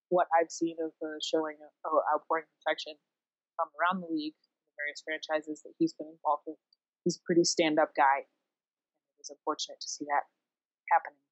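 A woman's voice: low voice, level tone, moderate pace, pauses often. A woman co-hosting a sports podcast, chatting casually with wry, flippant asides. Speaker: podcast co-host. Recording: dull, roomy, lo-fi recording.

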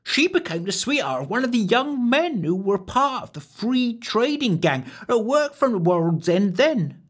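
A nervous young barmaid with a burr and rustic speech, as a man puts her on in a high falsetto. Her voice swoops up and down as she frets, and she speaks slowly and carefully. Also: very animated, full character voice. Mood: fearful.

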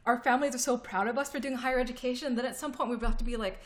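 A woman's voice: fairly high pitch, fairly even intonation, very fast. A woman in a casual conversation, speaking reflectively and supportively as a fellow graduate student.

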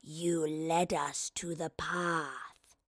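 Ancient hissing wraith, dreadfully slow. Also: female voice, sits low, steady tone, a bit bright, no echo, pitched below the performer's own voice, heavily acted. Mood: ominous. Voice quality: hissing, breathy, whispery. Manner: very slow, drawn-out, ominous. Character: menacing ancient wraith creature wearing a stolen human body.

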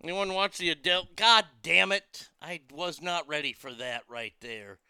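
Man, high-pitched bright talk host, irreverent and crude, dry close mic. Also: moderate pace, smooth, no echo. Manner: loose, irreverent, crude, reads listener messages aloud. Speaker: radio show host. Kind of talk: casual talk radio banter.

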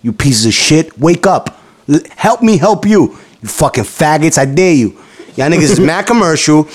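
Profane, excitable New man. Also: normal speed. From New York. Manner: loud, profane, teasing, jumps in pitch when excited. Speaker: podcast host. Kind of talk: crude casual banter.

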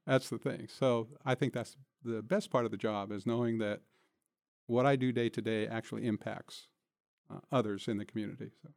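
A man's voice: lowish voice, fairly even intonation, normal speed, vocal fry, a little mellow, dry recording. Older man, reflective interview guest, dry sound. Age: older, nearing retirement. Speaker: interview guest, city manager. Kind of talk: conversational interview answers. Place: recording studio.

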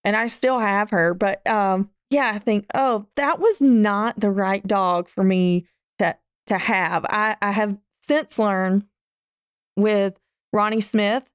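Woman podcast host; smooth, clear voice, dry close sound; casual, chatty. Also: mid-range voice, slow pace, dry recording. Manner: chatty, uses "like" often. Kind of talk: casual interview chat.

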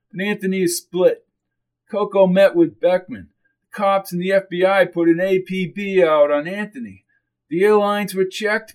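A man's voice: sits high, voice pushed up, natural voice. A man voicing a bossy, impatient tough guy who talks bluntly and urgently in a crime story.

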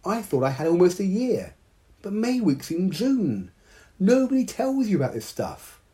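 A man voicing an anxious, excitable young female mermaid in a storybook reading. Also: medium pitch, moderate pace, voice pushed up, lightly acted. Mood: distraught. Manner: emotional, pleading.

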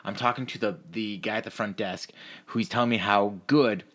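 A man with a fairly low voice talks casually as a sports podcast co-host. He tells stories in loose, sweary language and acts out both sides of conversations. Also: normal speed. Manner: profane, animated storyteller who acts out dialogue. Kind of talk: casual chat, storytelling.

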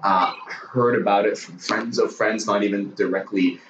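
A man in his twenties from Hong Kong speaks as a podcast guest, his low voice halting and full of restarts, with the odd dry joke. He sits well back from the mic in a roomy space, and the sound is dull and muffled. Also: steady tone, far from the mic, noisy background. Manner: halting, many restarts, joking. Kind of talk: casual interview chat. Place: home room with a dog.

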